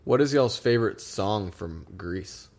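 A young man with a somewhat low voice co-hosts a relaxed podcast conversation. He talks in a laid-back, drawling way and laughs easily, working through his thoughts with loose restarts. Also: a little slow. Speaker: podcast co-host. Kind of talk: casual chat.

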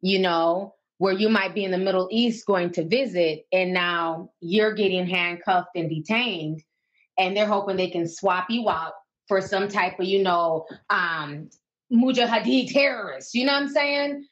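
A woman's voice: medium pitch, unhurried, lo-fi sound. A woman hosting a call-in show, chatting casually and giving listeners advice.

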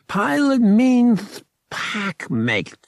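A man voicing a big alien cat-like bodyguard, gruffly friendly, who growls out slow broken English in a storybook reading. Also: sits high, huge pitch swings, no echo, clean studio sound, voice pushed up, heavily acted.

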